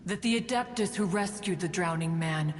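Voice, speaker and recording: A woman's voice: fairly low pitch, normal speed, big-room sound, slight background noise, close to an everyday voice. A woman playing a proud, ancient, aloof immortal who tells stories in formal, archaic, stately speech in a video game. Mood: wistful, solemn. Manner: formal, stately, archaic storytelling, refers to self as "one". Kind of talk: scripted video game dialogue.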